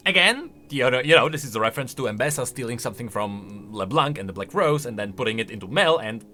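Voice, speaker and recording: A man's voice: medium pitch, expressive, fast, sharp tone. A man giving casual, conversational video game lore commentary, thinking aloud and posing questions. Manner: conversational, speculative, rhetorical questions. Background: faint music.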